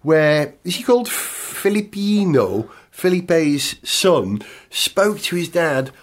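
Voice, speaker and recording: A man's voice: fairly high pitch, sing-song, takes it slowly. A man co-hosting a casual motor racing chat, wry and emotive with playful asides. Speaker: podcast co-host.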